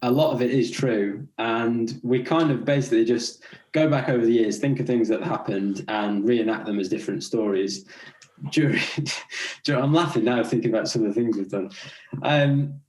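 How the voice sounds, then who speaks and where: A man's voice: mid-range voice, moderate pace, echoey room, rough recording. A young man with an English accent, raised partly in Scotland, chatting casually as a podcast guest and laughing as he tells stories. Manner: relaxed, chatty, laughs while telling stories. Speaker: podcast guest, racing rider. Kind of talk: casual interview chat.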